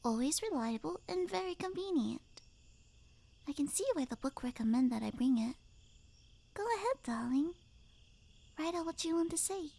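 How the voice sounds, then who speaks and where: A woman playing a sweet, obsessive necromancer girlfriend in an intimate ASMR roleplay, cooing softly and often half-whispering. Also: high-pitched, lively intonation, normal speed, vocal fry, pauses often. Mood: playful, coaxing. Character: doting, obsessive yandere girlfriend turned necromancer, sweet and unhinged.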